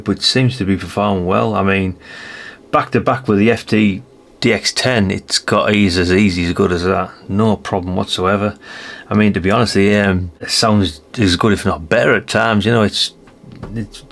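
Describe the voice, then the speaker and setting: British male hobbyist vlogger, fifties, gravelly low voice, rambling and informal, musing aloud with plenty of tag questions. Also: fairly even intonation. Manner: musing, unhurried, frequent tag questions and self-corrections. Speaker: radio hobbyist presenting a video. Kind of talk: casual rambling vlog chat.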